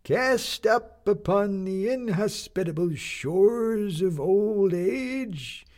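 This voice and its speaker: A man voicing an ancient, pompous old sea captain with a creaking voice, orating slowly and grandly. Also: sits high, lively intonation, a little mellow, pitched above the performer's own voice, full character voice. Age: older. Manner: slow, grandiloquent speechmaking.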